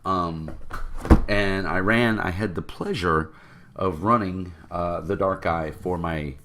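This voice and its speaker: A man with a deep, froggy voice from a cold, rambling casually to his viewers about tabletop games. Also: takes it slowly, heavy background noise. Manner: rambling, with many fillers. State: congested from a cold. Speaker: tabletop game vlogger. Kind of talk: casual rambling talk to camera.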